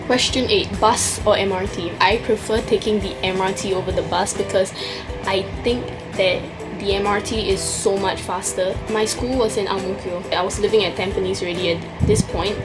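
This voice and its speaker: A woman's voice: mid-range voice, steady tone, normal speed. Young Singaporean woman vlogger, casual question-tag chat, Singaporean English accent, music playing underneath. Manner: chatty, answers questions from a list.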